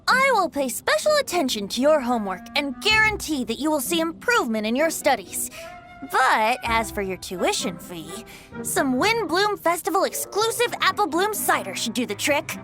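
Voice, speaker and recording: A woman's voice: high-pitched, sing-song, normal speed, fairly bright tone, faint music behind. A woman voicing a mischievous young male bard in a video game, theatrical, flowery and teasing. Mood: gleeful, teasing. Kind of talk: animated video game voice acting.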